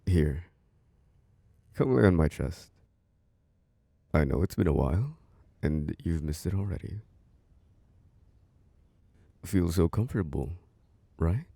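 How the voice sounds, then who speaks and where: A man playing a doting, protective boyfriend in an intimate ASMR sleep-aid roleplay, murmuring soft, coaxing reassurance and endearments. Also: low voice, expressive, slow, vocal fry, long pauses, dry recording, exaggerated voice. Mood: cozy, affectionate.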